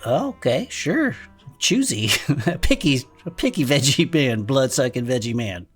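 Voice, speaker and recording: Low voiced woman acting the skeptical sidekick, wry comic banter, pitch jumps all over, faint music behind. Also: big pitch swings, a little slow, husky, exaggerated voice. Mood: amused. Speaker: podcast co-host.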